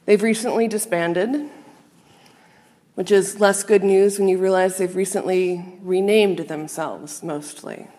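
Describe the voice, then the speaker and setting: A woman minister giving a sermon to a congregation, speaking in a measured, earnest and reflective way with pauses. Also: medium pitch, steady tone, mellow. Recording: long reverb of a large hall.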